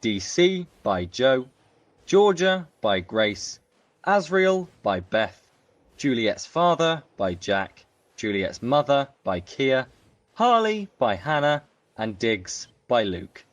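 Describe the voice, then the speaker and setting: A man's voice: mid-range voice, sing-song, slow pace, no room sound. A man reading scripted cast credits as an announcer.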